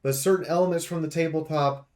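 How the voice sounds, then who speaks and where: A man's voice: on the high side, fairly even intonation, fast, darkish tone. A man giving unscripted, blunt and emphatic game review commentary in an aggrieved, complaining way as a preview host.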